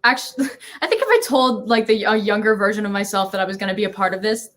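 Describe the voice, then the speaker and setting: A woman's voice: mid-range voice, fast talker, a bit bright. A woman guest chatting casually and informally. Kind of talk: casual chat.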